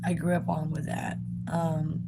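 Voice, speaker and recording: A young woman, still raspy from being sick, chatting casually while she draws, rambling with lots of ums and likes. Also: low voice, completely flat delivery, a little slow, heavy background noise. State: raspy from recent illness. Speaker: art vlogger. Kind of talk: casual chat while drawing.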